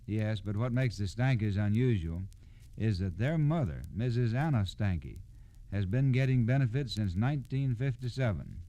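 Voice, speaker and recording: A man's voice: on the low side, lively intonation, normal speed, warm tone, dry recording. A man reading scripted narration as a storyteller on 1960s radio.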